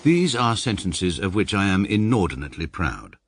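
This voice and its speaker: A man's voice: deep voice, big pitch swings, moderate pace, slight background noise. An English actor in his thirties narrating as a pompous, precise barrister in a radio drama, dry and self-satisfied. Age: 30s. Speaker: narrator and lead.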